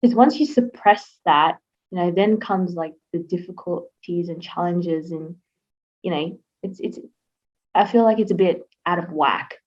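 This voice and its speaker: A woman's voice: on the low side, a little slow, darkish tone. A young Australian woman answering interview questions in a casual, rambling way, with lots of fillers and restarts. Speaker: interview guest. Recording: slightly dull lo-fi sound.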